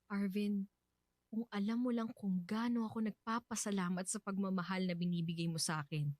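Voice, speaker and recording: A woman with a Filipino accent playing a tender widow in a radio drama, softly and hesitantly opening her heart to love again. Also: mid-range voice, fairly even intonation, normal speed, dry recording, clean studio sound, lightly acted. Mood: shy, apologetic. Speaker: radio drama actress and narrator.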